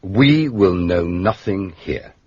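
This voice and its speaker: An actor with an English accent playing a courteous, wry gentleman detective in a radio drama, understated and politely hesitant. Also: deep voice, lively intonation, slow pace, slightly rough, dry recording, muffled recording, exaggerated voice. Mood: polite, measured. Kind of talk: British radio drama acting.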